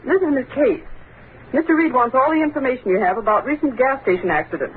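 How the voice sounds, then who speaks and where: A woman acting a brisk, sardonic newspaper secretary in an old radio drama. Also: high-pitched, lightly acted. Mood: brisk, businesslike. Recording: tinny, noisy old radio recording.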